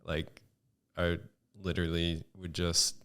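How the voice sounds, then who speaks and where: A man with a deep, clean, resonant voice talks as a guest in a relaxed home podcast chat. His delivery is flat and monotone, and he stops and restarts often with plenty of fillers. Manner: halting, many fillers and restarts. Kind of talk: casual chat.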